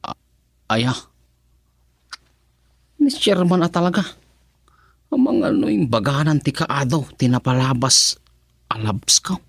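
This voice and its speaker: A comic village suitor with an Ilocano accent in a radio drama. He flirts sheepishly with unhurried, swooping delivery that breaks into stammers when he is flustered. The sound is close and dry. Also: medium pitch, animated, dry recording. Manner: exaggerated sweet-talk, stammering when flustered. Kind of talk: Filipino radio comedy drama acting.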